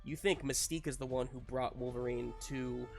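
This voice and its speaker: A man's voice: mid-range voice, steady tone, moderate pace, vocal fry, music in the background. A man running a tabletop roleplaying game, narrating casually and checking in with his players in an encouraging way.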